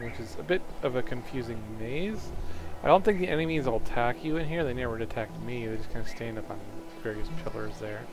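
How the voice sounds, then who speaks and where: A man giving casual, rambling let's play commentary, often breaking off mid-thought, with a slightly hoarse voice. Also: medium pitch. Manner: halting, rambling, self-interrupting. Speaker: video game commentator. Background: video game music.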